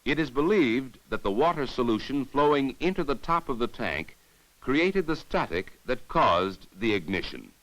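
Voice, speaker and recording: A man giving formal, measured, didactic narration in the scripted style of a 1950s educational safety film. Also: mid-range voice, very animated, fairly bright tone, poor audio.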